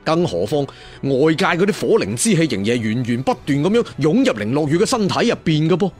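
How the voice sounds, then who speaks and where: Animated male Cantonese storyteller. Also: fairly high pitch, soft background music. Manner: theatrical, conversational asides, drawn-out emphatic endings. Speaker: storyteller narrator. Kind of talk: dramatic serial fantasy storytelling.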